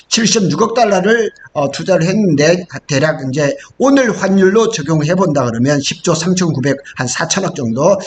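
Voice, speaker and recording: Man, informal explainer, animated intonation, stop-and-start phrasing, audible background noise. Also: fairly high pitch. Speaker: presenter of an industry news channel. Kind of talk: informal explanatory presentation from slides.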